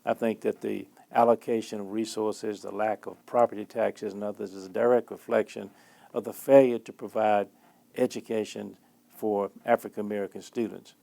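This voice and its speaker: Very gravelly, mellow, low-voiced man. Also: level tone, moderate pace, no echo. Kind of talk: considered interview commentary.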